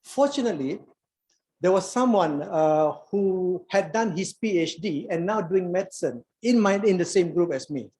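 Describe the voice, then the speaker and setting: A man's voice: sits high, animated, moderate pace, darkish tone, rough recording. A Malaysian man in his sixties, a doctor giving an anecdotal conference talk with frequent repeats and restarts. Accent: Malaysian English. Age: 60s. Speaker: doctor and alumni conference speaker.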